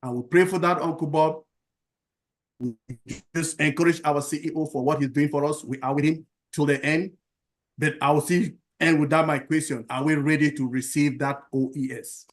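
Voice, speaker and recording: A man from Cameroon speaking at an online round table, earnest and halting, repeating himself and pausing on fillers. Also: on the high side, fairly even intonation. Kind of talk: impassioned testimonial speech.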